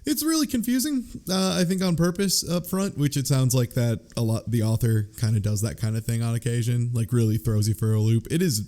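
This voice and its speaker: A man with a mid-pitched voice chats as one of a podcast panel. He talks in a casual, jokey way and tends to riff on ideas bluntly. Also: brisk, few pauses. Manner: animated riffing, profane, jokey. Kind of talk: casual book club discussion.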